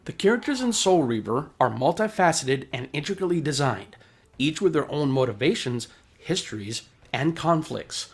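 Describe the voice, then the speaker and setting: A man's voice: a little high, sing-song, normal speed, slightly rough. A man reading scripted video essay narration in a polished, formal, explanatory way.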